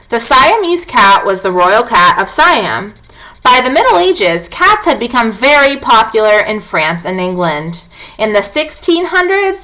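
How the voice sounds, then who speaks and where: A woman's voice: medium pitch, sharp tone, rough recording. A woman teacher reading a non-fiction book aloud to young children, talking to them directly with questions and prompts.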